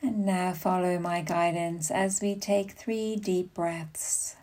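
A woman leading a guided meditation as a yoga nidra guide, giving soothing, unhurried instructions with long pauses. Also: lowish voice, steady tone, darkish tone.

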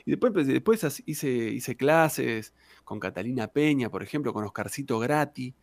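Gravelly Argentine man telling stories. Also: medium pitch, lively intonation, moderate pace, dry recording. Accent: Argentine Spanish. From Argentina, Santa Cruz province. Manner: rambling, chatty, many fillers and tag questions. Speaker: tango singer, interview guest. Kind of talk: casual interview storytelling.

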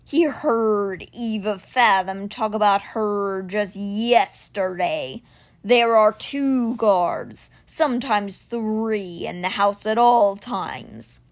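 A woman voicing a huge male stone cat guardian, speaking slowly in a low, measured, earnest way.

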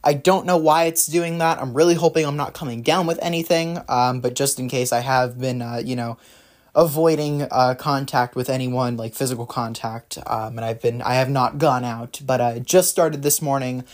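A man hosting a solo talk show, rambling through his views with many fillers and restarts, his voice slightly raspy from a runny nose. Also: mid-range voice, fairly even intonation, normal speed. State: runny nose, maybe a cold. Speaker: podcast host. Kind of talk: casual solo political commentary.

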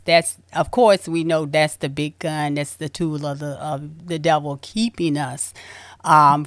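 A woman host with a slightly low voice leads a faith-based interview. She tells her stories emphatically and with animation, recorded close and dry. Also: fairly bright tone, no room sound.

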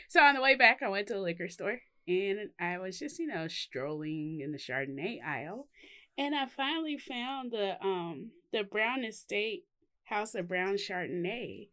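A woman's voice: mid-range voice, expressive, measured pace, sharp tone. A woman co-hosting a podcast from home in casual chat, rambling and laughing often. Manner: giggly, rambling. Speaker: podcast co-host. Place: home in Texas.

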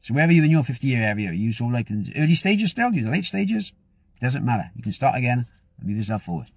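A man's voice: medium pitch, big pitch swings, quick pace, vocal fry, slightly rough, no room sound. A man giving an upbeat, rambling motivational talk as host of his own show. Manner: upbeat, rambling with frequent restarts. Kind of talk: casual motivational talk to camera.

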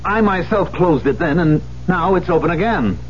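An actor in a 1970s radio drama playing a bitter, volatile concert pianist, brooding and emphatic in a theatrical way. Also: male voice, sits high, lively intonation, moderate pace. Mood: startled, baffled. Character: bitter, volatile concert pianist who lost his hand. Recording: muffled, noisy 1970s radio recording.

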